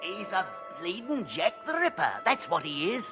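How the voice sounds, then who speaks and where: Casting: riled working-class Cockney, radio play, high voice with wide swings, coarse temper. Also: male voice, sing-song, moderate pace. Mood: indignant. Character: riled, foul-mouthed working-class London man. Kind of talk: old-time radio drama acting. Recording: old radio broadcast. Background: music.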